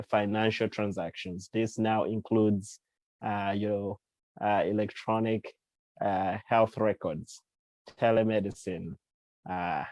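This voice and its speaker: A man from Uganda talks in an unhurried interview, pausing with fillers and restarting his sentences. His voice sits on the low side. The recording is dry and close, with no room echo. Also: darkish tone. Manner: thoughtful, with frequent fillers and restarts. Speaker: guest author and CEO. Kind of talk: casual interview talk.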